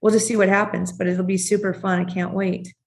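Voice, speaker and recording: A woman's voice: medium pitch, flat delivery, vocal fry, roomy sound, slight background noise. A woman co-hosting a podcast interview, chatting in an upbeat, casual way over a video call. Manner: chatty, upbeat, quick to laugh. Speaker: podcast co-host.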